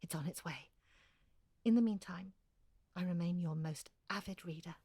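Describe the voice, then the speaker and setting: An actress playing Hilary, a thirty-nine-year-old former museum curator and single mother who speaks in a proper, florid, brisk way. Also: lowish voice, heavy background noise, close to an everyday voice. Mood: warm. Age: 30s. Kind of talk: audio drama acting, epistolary.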